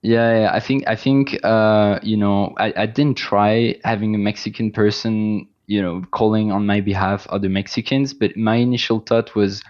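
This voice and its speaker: A man's voice: fairly low pitch, fairly even intonation, normal speed, few pauses, a little mellow, lo-fi sound. A man with a French accent, a company founder talking as a guest in a casual interview, hesitant with many restarts and fillers.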